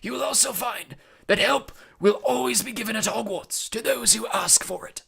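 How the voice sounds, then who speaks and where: A man voicing a calm, steely old headmaster in a storybook, speaking politely, clearly and deliberately. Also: high-pitched, voice pushed up, heavily acted. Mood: solemn, deliberate.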